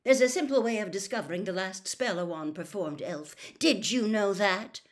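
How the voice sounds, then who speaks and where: A woman's voice: medium pitch, normal speed, natural voice. A woman voicing a stern, blustering male wizard official in an audiobook, accusing and interrogating in a barking manner. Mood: menacing.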